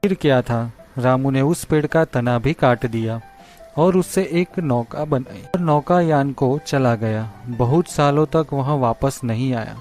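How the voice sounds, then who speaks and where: Man narrating a childrens tale, really slow simple delivery, music playing under him. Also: medium pitch, a little mellow. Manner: simple, measured storytelling. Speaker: storyteller. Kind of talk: scripted children's story narration.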